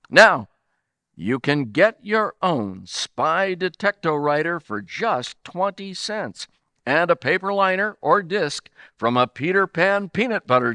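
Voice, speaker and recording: A man's voice: high-pitched, pushed and bright, no echo. An American man announcing in 1940s radio style, with punchy, emphatic salesmanship.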